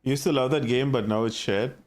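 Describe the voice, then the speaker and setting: A man's voice: mid-range voice, brisk. A young man from India with an Indian accent, chatting casually with his live-stream viewers and reacting to their messages.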